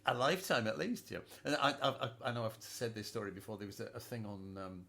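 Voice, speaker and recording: A man's voice: fairly low pitch, quick pace, gravelly, pushed and bright, slight background noise. A man hosting a casual music chat, hesitant and repeating words, laughing often. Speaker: host.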